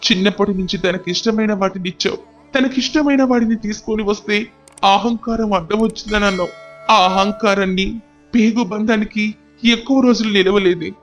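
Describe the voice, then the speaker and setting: A man's voice: high-pitched, fairly even intonation, moderate pace, soft background music, natural voice. A man playing a stern, anguished father and husband, scolding and emotional, in an audio story. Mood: anguished, confessing. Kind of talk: dramatic audio story dialogue.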